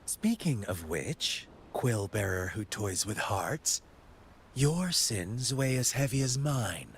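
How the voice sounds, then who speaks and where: A gleefully cruel, unhinged game villain. His delivery is slow and mocking, savoring each phrase, and his pitch leaps about theatrically. He sounds like a showman who delights in menace. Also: big pitch swings, a little hiss or hum, heavily acted. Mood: menacing. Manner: mocking, flamboyant, drawn-out phrasing. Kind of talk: video game cutscene acting.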